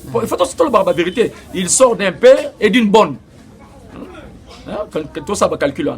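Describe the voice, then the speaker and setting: A man's voice: sits high, lively intonation, normal speed. A man with a Congolese French accent arguing insistently in a political debate, hesitating often, then pushing hard on his point. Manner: insistent, argumentative, with many hesitations. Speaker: interviewee.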